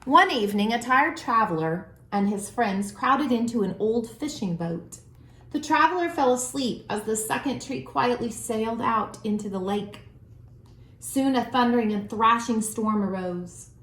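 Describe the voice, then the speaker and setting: A woman reading a children's storybook aloud as a storyteller, with expressive, deliberate phrasing. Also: a little high. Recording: roomy, echoing room sound.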